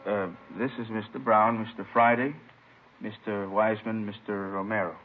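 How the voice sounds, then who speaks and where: A man's voice: fairly low pitch, flat delivery, normal speed, natural voice. An actor playing a courteous, troubled professor and father, speaking politely and formally in an old radio drama. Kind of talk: 1950s radio drama acting. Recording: muffled, noisy old radio recording.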